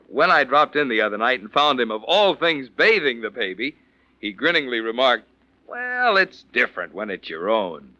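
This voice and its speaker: A man doing polished, emphatic radio announcing and sponsor pitches in a 1940s style. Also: big pitch swings, normal speed, bright, forceful tone, dry recording. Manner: polished, emphatic sales delivery. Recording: dull, dry 1940s radio recording.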